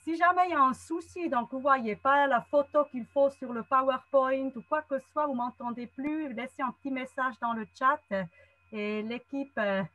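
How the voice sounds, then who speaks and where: A woman in her forties with a Swiss German accent, a non-native speaker, giving a friendly online lecture from a wood-panelled room over a webcam, pausing often to find her words. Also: sits high, a bit bright, dry recording. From German-speaking Switzerland. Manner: friendly, explanatory, frequent hesitations. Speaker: guest speaker, psychologist and trainer. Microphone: webcam video call.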